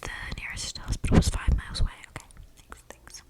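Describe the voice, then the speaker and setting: A woman playing an eccentric, flirty female vampire in a whispered ASMR role-play, rambling casually in breathy slang with audible breaths close to the mic. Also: fast, close to an everyday voice. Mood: chummy, conspiratorial. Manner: slangy, gossipy, conspiratorial, rambling. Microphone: close ASMR microphone.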